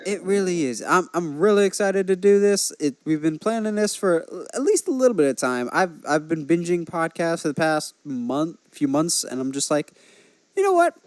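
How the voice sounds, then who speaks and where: A man's voice: on the high side, big pitch swings, moderate pace, darkish tone, no echo. A man hosting a podcast in casual, enthusiastic, conversational chat. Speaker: podcast host.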